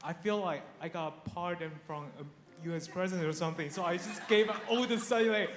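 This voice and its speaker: A man's voice: high-pitched, a little fast, a bit bright. A man from Taiwan, sharing his life story with a church congregation in a chatty way, laughing often and restarting his sentences. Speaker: guest speaker. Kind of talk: personal testimony to a congregation. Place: church sanctuary. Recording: echoey hall with faint noise.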